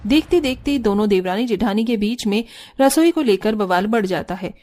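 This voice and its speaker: A woman's voice: medium pitch, lively intonation, normal speed, darkish tone. A woman narrating a scripted cartoon family story as the storyteller.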